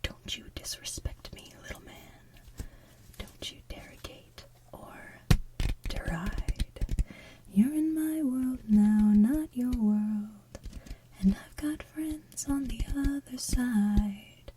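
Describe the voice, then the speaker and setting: A woman voicing a sly male voodoo con-man from an animated musical, softly half-singing in a slow, coaxing, breathy delivery. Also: fairly high pitch, slight background noise. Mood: sly, boastful. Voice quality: soft, breathy, half-whispered. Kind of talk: softly sung animated musical villain song.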